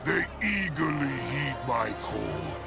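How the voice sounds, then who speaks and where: An actor playing a proud, power-hungry warlord general in a game cutscene, speaking imperiously and emphatically with a gravelly voice. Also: male voice, medium pitch, expressive, slow pace. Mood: scheming, scornful. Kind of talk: dramatic scripted cutscene narration. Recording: loud music behind voice.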